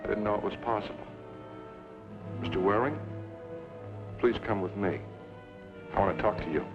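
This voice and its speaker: Stern low-voiced frontier lawkeeper. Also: male voice, moderate pace, creaky. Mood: commanding. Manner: firm, formal, authoritative. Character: stern, commanding frontier town gunman. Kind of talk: old-time western radio drama acting. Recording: muffled old radio recording with music.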